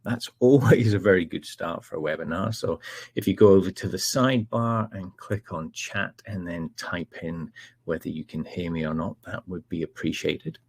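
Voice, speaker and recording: A middle-aged man with a Scottish accent hosts a live technical webinar, his voice low and a little husky. He talks in a relaxed, chatty way, filling pauses with ums and uhs. The sound is dry and close, with no room echo. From Scotland. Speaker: webinar presenter, technical manager.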